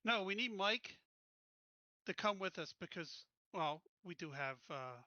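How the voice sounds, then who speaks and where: A man's voice: high voice, animated, measured pace, sharp tone, dry recording, highs cut off. A man chatting casually as a player in a tabletop game.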